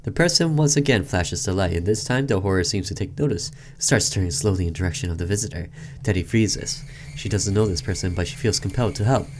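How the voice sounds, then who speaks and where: A man's voice: sits low, fast talker, darkish tone, a little hiss or hum. A man hosting a gaming video, reading a visual novel aloud and commenting casually.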